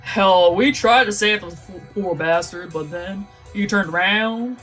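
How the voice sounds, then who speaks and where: A woman streamer reading a visual novel aloud in a casual way, swearing freely in the rough lines. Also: medium pitch, measured pace, fairly bright tone, faint music behind. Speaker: VTuber playing a visual novel.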